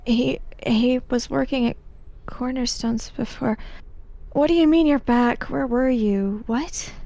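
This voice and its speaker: A woman's voice: fairly high pitch, slow, gravelly, mellow, no echo. A woman playing a tabletop game, chatting casually in character with a playful, questioning manner. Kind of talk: casual improvised in-character chat.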